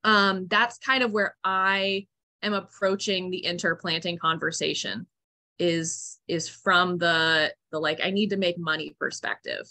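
A woman's voice: on the low side, measured pace, sharp tone. A young woman farmer giving a chatty, upbeat online presentation with many fillers, speaking over a video call. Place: room at home, indoors.

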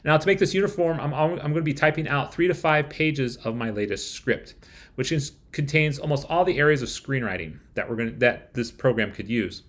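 A man's voice: mid-range voice, brisk, fairly bright tone. A man in his forties presenting a scripted review to camera, with wry rhetorical asides. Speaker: software reviewer. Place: small room at home, indoors.

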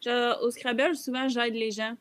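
A woman's voice: a little high, little pitch movement, normal speed, a bit bright, clear, resonant tone. A young woman with a Quebec French accent, chatting casually in a group video call. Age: young adult. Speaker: podcast panelist. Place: room at home, video call.

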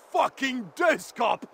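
Game lumberjack: blustering coward, sharp high voice, wildly animated pitch. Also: male voice, creaky, a little hiss or hum, heavily acted. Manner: halting, panicky, excitable. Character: blustering, frightened lumberjack weed dealer. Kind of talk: video game character acting.

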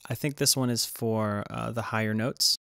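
A man's voice: lowish voice, fairly even intonation, normal speed, vocal fry, pushed and bright, clean tone, no echo, studio quality. A man giving a casual, hesitant tutorial voice-over as he explains music production. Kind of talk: casual screen-recorded tutorial narration.

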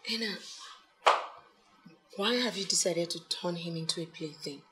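A woman's voice: on the low side, normal speed, heavy background noise, natural voice. An actress playing a confrontational young woman in a film drama, questioning in an accusing way. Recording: distant mic under loud music.

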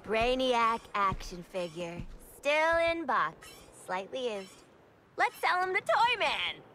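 Bright, playful villainess. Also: female voice, a little high, big pitch swings, slow pace, slight background noise, heavily acted. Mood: gleeful scheming. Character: playful, gleefully mischievous young female villain. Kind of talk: video game cutscene acting.

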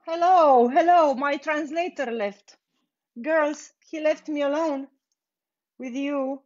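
Woman, Romanian accent, young adult, unhurried, chatty, live painting tutorial, muffled phone audio. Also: sits high, a little mellow. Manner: unhurried, chatty, laughs easily while painting. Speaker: furniture painter hosting a live stream. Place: indoor workshop with bare wall.

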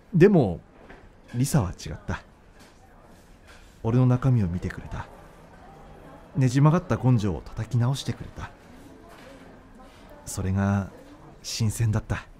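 A man's voice: medium pitch, dark tone, slight background noise, lightly acted. A man acting in a radio drama as an earnest young doctor in love, speaking informally and from the heart. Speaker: voice actor.

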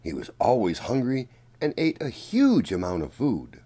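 A man reading a children's story aloud in a deliberate, expressive storytelling manner. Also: medium pitch, breathy edge. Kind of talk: read-aloud storybook narration.

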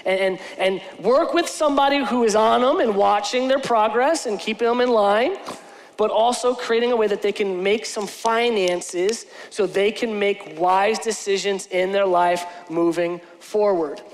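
A pastor in his forties talks with earnest, conversational energy and often restarts his sentences. His voice sits high for a man. It rings out through a large church hall with a long reverb. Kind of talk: sermon with church announcements. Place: large church stage with lighting.

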